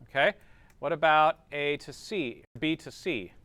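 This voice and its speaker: Male instructor, higher-than-average pitch, crisp and dry sound, informal classroom explaining with frequent check-in tags. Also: unhurried, sharp tone, no room sound. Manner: informal, checks understanding with short tag questions. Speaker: teacher. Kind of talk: classroom lesson, explaining step by step.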